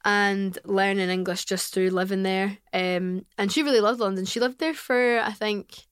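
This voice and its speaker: A Glaswegian woman with a mid-range voice chats as a podcast guest, spinning family stories in a lively, casual flow peppered with "like". She laughs often, and the sound is dry and close. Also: mid-range voice, moderate pace, clear, resonant tone, dry recording. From Glasgow, Scotland. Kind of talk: casual interview chat.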